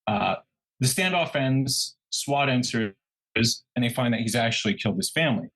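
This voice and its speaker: A man's voice: mid-range voice, normal speed, breathy edge, low-quality recording. A man co-hosting a true crime podcast, telling the story conversationally and acting out people's reactions as he goes. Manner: animated retelling, acts out quoted reactions.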